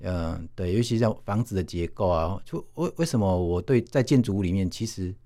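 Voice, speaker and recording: A man in his forties speaks Mandarin with a Taiwanese accent. His voice sits a little low. He tells personal stories as a guest, conversationally, in an easygoing and modest manner. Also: animated, fairly quick, dark tone. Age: 40s. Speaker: interview guest. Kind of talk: casual conversational storytelling.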